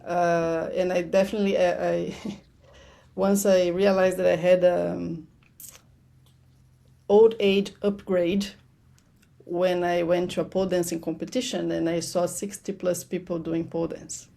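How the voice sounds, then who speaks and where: Gravelly-voiced woman, non-native English. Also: lowish voice, level tone, unhurried, warm tone. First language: not English. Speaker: podcast guest, financial educator. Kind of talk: casual interview chat.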